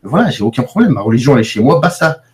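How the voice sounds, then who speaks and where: A man's voice: mid-range voice, very animated, racing, darkish tone. Brief: French man, mid-range voice, blunt debater, emphatic, restarts sentences. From France. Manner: emphatic, blunt, repeats himself when starting sentences. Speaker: debate participant. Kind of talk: heated debate.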